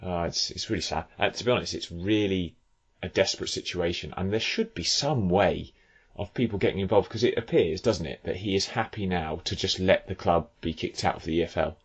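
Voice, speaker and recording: A hoarse man hosting a football podcast, talking in an earnest, measured way with frequent fillers. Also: sits low, lo-fi sound. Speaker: podcast host. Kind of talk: casual football podcast chat.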